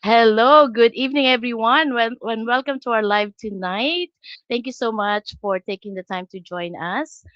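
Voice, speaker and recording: A woman's voice: a little high, moderate pace, no echo. An older woman hosts a live online panel from home and greets each guest by name. Her voice comes through a dry, slightly muffled stream, and she stumbles and restarts now and then as she reads out her questions. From Canada.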